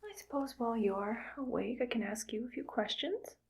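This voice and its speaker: A woman's voice: a little high, normal speed, gravelly, breathy edge. A woman playing a whispering evil scientist. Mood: musing, calm. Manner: soft close whisper, musing aloud. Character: cheerfully callous female evil scientist. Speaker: ASMR roleplay performer. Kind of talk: ASMR roleplay whispering.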